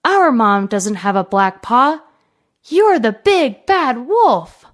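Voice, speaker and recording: A woman voicing a small, earnest and excitable young goat in a storybook, childlike and emphatic. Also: a little high, slow pace. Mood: defiant.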